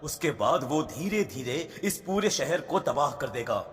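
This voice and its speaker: An actor playing a solemn, commanding priest who instructs ominously in a horror cartoon. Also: male voice, on the high side, very animated, measured pace, a bit bright. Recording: long reverb, faint music.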